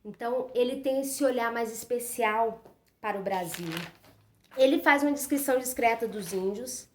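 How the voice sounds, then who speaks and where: A woman's voice: on the high side, moderate pace, darkish tone. Lady walking thru a book chapter, earnest and chatty, echoey room, bit of background noise. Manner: earnest, explanatory, frequent "né" and "então". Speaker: reader presenting a book chapter. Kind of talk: informal spoken book commentary.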